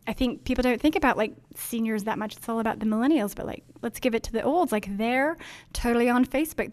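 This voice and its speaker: A woman's voice: on the high side, a little mellow. A woman from Denmark answering interview questions about marketing in a chatty, earnest way, with many ums and likes. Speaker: marketing executive.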